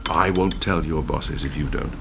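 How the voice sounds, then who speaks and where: A man's voice: very deep voice, fairly even intonation, moderate pace, creaky. A man acting in a radio play as a weary, sardonic ageing former prime minister, telling his story in a wry, theatrical way. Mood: confiding. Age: older. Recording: noisy amateur radio play recording.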